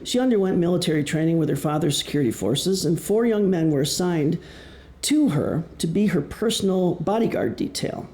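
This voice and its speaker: A man from Wisconsin with a Midwestern American accent giving an explanatory public talk as an author, with deliberate pauses. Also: a little high, expressive, warm tone. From Wisconsin, United States. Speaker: author presenting his novel. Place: indoor presentation room. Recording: echoey room.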